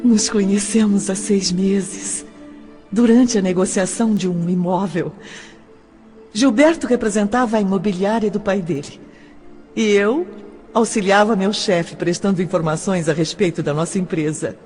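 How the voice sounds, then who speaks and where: A woman's voice: medium pitch, moderate pace, a little mellow, natural voice. An actress playing a wistful young working woman recalling her love in an audio drama. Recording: music under the voice.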